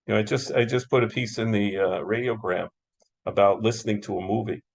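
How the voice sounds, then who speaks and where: A man's voice: on the low side, steady tone, fairly quick, creaky. An American man moderating an interview in a casual, agreeable, conversational style, often echoing and affirming.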